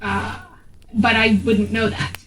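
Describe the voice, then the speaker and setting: A woman's voice: on the high side, little pitch movement, takes it slowly, fairly bright tone. A woman chatting casually as a player in an improvised tabletop game. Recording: roomy, distant mic, faint noise.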